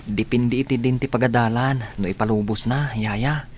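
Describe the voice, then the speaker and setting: A man's voice: medium pitch, fairly even intonation, normal speed, a little hiss or hum, natural voice. A man playing a dutiful, determined, sickly young student in a radio drama, speaking in an earnest, reassuring way. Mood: reassuring, grateful.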